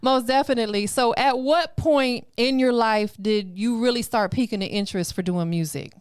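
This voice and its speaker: A woman's voice: fairly high pitch, moderate pace, clear, resonant tone. A middle-aged woman hosting a podcast, upbeat and full of casual slang, interviewing a guest. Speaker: podcast host.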